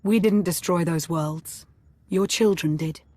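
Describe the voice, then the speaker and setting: An actress playing a composed, shrewd military adviser in a video game, firm and controlled. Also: female voice, on the low side, a little slow, warm tone, no echo. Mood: serious.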